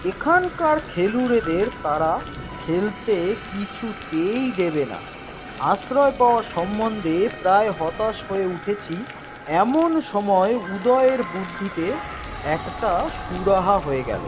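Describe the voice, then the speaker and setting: An audiobook storyteller narrates a horror tale at an unhurried pace, with very lively intonation. The delivery is dramatic with a wry edge, and music plays clearly underneath. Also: mid-range voice. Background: background music.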